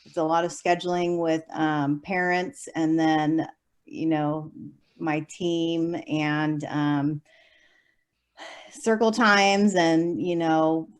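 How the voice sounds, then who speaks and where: A woman's voice: low-pitched, fairly even intonation, slow pace, clean tone. A young adult woman, a teacher, answering interview questions in a chatty way with many fillers and light laughs. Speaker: special education preschool teacher.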